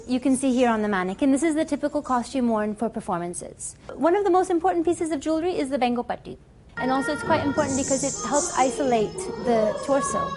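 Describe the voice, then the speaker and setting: A woman with a fairly high voice presents a documentary segment, explaining costume pieces clearly and informatively. Faint music plays softly underneath her. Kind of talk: documentary narration.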